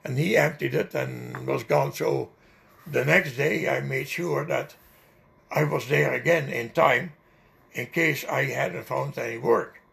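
An older man with a heavily gravelly, creaky voice tells a long memory in a relaxed, rambling way, full of small fillers. He speaks English as a second language. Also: mid-range voice, unhurried. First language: not English. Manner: rambling, vivid recollection with fillers. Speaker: interviewee. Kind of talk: oral history storytelling.

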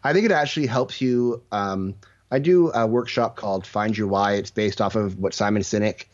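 A man's voice: lowish voice, moderate pace, highs cut off. A man speaking casually as an interview guest, telling anecdotes and voicing bits of dialogue. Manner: anecdotal, acts out quoted dialogue. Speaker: interview guest, leadership mentor. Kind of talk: casual conversational storytelling.

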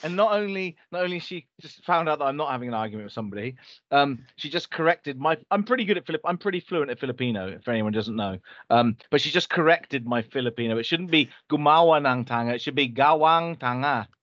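A man's voice: on the high side, expressive, fast talker, a bit bright, no echo, highs cut off. A man co-hosting a podcast, telling a story in rambling, self-correcting casual chat. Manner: rambling storyteller, many restarts and fillers. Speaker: podcast co-host.

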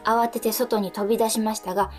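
A woman with a fairly high voice co-hosts a radio show. She chats lightly and reads letters from listeners aloud, with faint music playing behind her. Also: little pitch movement, fairly quick.

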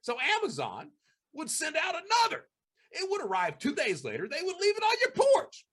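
A man telling crime stories in a casual monologue, acting out the people he quotes in a high voice. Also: wildly swooping pitch, normal speed, a bit bright. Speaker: former cybercriminal host. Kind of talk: storytelling monologue.

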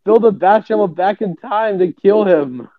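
A man's voice: sits high, normal speed, no echo, narrow, tinny audio. A man co-hosting a comedy podcast, laughing often and reacting with disbelief. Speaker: podcast co-host. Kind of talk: improvised comedy banter.

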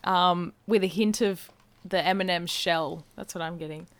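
A woman's voice: on the low side, fairly even intonation, normal speed, clean tone, no echo. Woman chatting, close dry sound. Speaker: podcast co-host. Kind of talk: casual podcast chat, live taste test.

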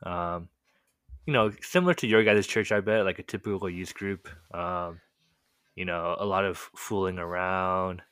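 A young man from the United States, whose first language was Korean, telling his childhood story in a relaxed, rambling way, full of "like" and "um". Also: deep voice, normal speed, smooth, no echo, clean studio sound. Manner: relaxed, rambling, heavy use of "like" and "um" fillers. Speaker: podcast guest telling his life story. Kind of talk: casual storytelling chat.

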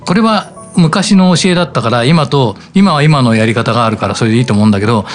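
A middle-aged man, a voice actor guest, reminiscing in a relaxed storytelling way and chuckling at himself. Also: mid-range voice, fast talker, soft background music. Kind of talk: casual reminiscing chat.

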